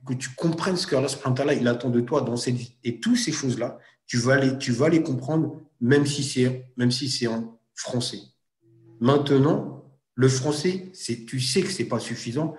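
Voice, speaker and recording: A man's voice: mid-range voice, a little mellow. Hesitant, thoughtful man in a roomy space. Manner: thoughtful, hesitant, circles back and repeats himself. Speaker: guest, Arabic teaching project founder. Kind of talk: casual chat.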